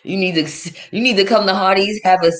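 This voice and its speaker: A woman's voice: fairly even intonation, fairly quick. A woman, hosting a casual livestream rant, blunt and profane, laughing often as she reads the chat. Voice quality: fairly deep for a woman. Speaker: livestream host reading chat.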